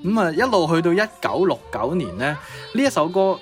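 A man's voice: high-pitched, very animated, brisk. A young man co-hosting a music-history show, presenting conversationally from prepared notes. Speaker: co-host of music history podcast.

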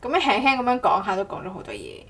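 A woman's voice: medium pitch, huge pitch swings, racing, fairly bright tone. A woman hosting a casual podcast chat in a playful, teasing way. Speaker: podcast host. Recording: clearly noisy background ambience.

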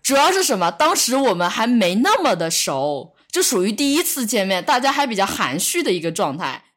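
A woman chatting casually on a podcast, explaining herself haltingly with repeated words. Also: medium pitch, big pitch swings, a bit bright. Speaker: podcast speaker. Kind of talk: casual chat.

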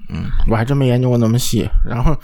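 A man's voice: mid-range voice, racing, mellow. A man chatting casually and giving opinions as a podcast co-host, emphatic and given to repeating himself.

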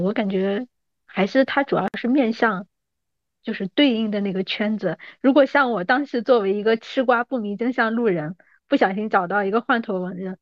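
Podcast host, woman in her thirties, medium pitch, attentive and conversational, dry and slightly dull audio. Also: dry recording. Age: 30s. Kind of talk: casual chat.

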